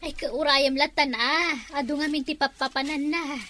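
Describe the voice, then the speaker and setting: A woman's voice: high voice, fairly even intonation, normal speed, pushed and bright, slight background noise. A woman with an Ilocano accent playing a chatty village neighbor who laughs between her lines in a comic drama.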